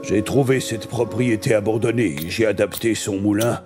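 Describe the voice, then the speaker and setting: A man's voice: lowish voice, fairly even intonation, normal speed, a little mellow, faint music behind, close to an everyday voice. An actor playing a gloomy, ominous woodsman in a dubbed cartoon, grave and theatrical. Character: gloomy male woodsman warning of a beast. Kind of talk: animated cartoon dub acting.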